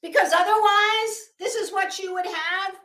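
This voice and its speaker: A woman's voice: very high voice, unhurried, sharp tone. A woman giving unscripted, opinionated legal commentary in an emphatic, sarcastic, exasperated way, swearing freely and often repeating words as she goes. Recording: roomy, echoing room sound.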